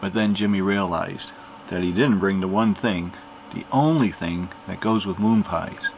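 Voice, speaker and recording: A man narrating a children's picture book aloud in an animated storytelling manner. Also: low-pitched, unhurried, slight background noise, poor audio.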